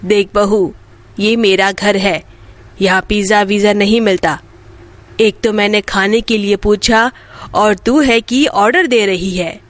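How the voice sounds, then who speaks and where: A woman's voice: mid-range voice, steady tone, a little slow, pitched below the performer's own voice, natural voice. A woman voicing a poor, loving old village mother in a storybook tale, plain-spoken and motherly. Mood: annoyed. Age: 60s. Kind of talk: Hindi bedtime moral story dialogue.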